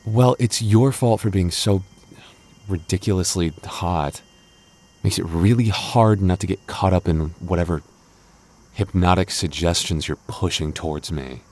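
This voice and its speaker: Man acting deep-voiced, flustered jealous boyfriend. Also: unhurried, darkish tone. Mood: embarrassed, smitten. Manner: stammering, mock-indignant, sighing and chuckling. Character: clingy, jealous boyfriend, flustered, affectionate, playfully grumbling. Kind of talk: intimate boyfriend audio roleplay, ASMR.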